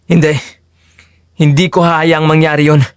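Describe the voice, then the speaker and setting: A man playing a determined, protective fugitive in an audio drama, speaking gravely and emphatically. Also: a little high, level tone, moderate pace, no room sound, studio quality, lightly acted. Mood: grave, urgent.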